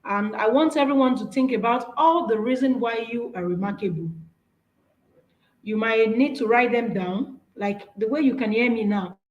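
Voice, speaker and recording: A woman's voice: a little high, moderate pace, reverberant, lo-fi sound. A woman with a Nigerian accent, whose first language is Yoruba, giving an earnest, encouraging workshop talk with slides, often restarting her phrases. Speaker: speaker.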